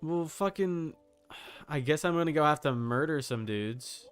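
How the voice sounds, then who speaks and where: A man's voice: a little high, animated, measured pace, smooth. Casual man streaming games over music. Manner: slangy, swearing, thinking aloud. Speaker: livestream host. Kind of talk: casual gaming livestream commentary.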